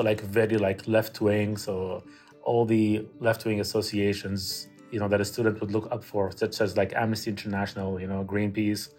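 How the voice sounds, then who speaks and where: A man from Syria, a native Arabic speaker, recalling his student days casually in an interview. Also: on the low side. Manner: casual, with frequent "like" and "you know". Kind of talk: interview recollection.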